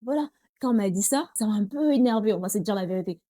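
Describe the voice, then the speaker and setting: A woman's voice: mid-range voice, expressive, fast talker, dark tone, no room sound, clean studio sound. A young woman with a Congolese accent chatting to her viewers in a casual vlog, often calling them girls. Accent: Congolese French. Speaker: vlogger. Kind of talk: casual talk to camera.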